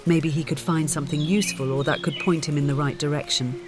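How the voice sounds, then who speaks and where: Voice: medium pitch, fairly even intonation, fast, music in the background. An audiobook narrator reading a scripted story in the third person.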